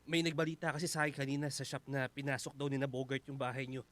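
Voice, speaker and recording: An actor playing a concerned, apologetic family friend in a Filipino radio drama, hurried and placating. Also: male voice, mid-range voice, level tone, a bit bright, no echo, close to an everyday voice.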